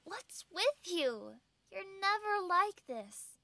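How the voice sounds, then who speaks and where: A woman playing a caring, fussy palace attendant in an audio drama, with an expressive, exasperated delivery. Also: very high voice, slow, creaky, no echo, clean studio sound, full character voice.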